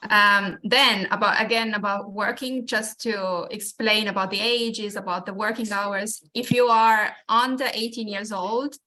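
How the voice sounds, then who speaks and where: Woman hosting a webinar, bright voice, kinda stop-start, on a call mic. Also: mid-range voice, steady tone, unhurried, few pauses, poor audio. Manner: explanatory, with frequent restarts and fillers. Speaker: webinar presenter. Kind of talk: informational webinar talk.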